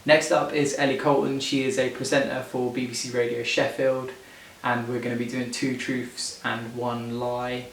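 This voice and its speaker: A man's voice: mid-range voice, moderate pace. A man with an English accent hosting a casual video-call chat, telling stories loosely with lots of fillers. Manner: relaxed, chatty, lots of fillers. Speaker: show host and singer.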